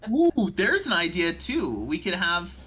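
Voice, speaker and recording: Male gamer, fairly high and bright, bantering, faint background noise. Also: huge pitch swings, measured pace, slightly rough. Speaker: tabletop game player. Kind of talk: casual group banter on a live stream.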